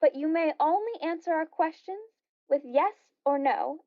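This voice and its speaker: A woman's voice: high-pitched, moderate pace, dry recording, soft background music. A woman playing an eager party guest leading a game in a radio play. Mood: playful. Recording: dry, slightly dull lo-fi audio.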